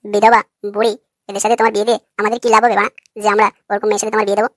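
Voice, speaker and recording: Cartoon young rascal, male, voiced by a woman: scheming, foul-mouthed, extremely quick delivery, mid pitch. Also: level tone, no room sound, studio quality, natural voice. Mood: dismissive. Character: brash, lewd, scheming young male prankster. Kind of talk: comic cartoon dialogue.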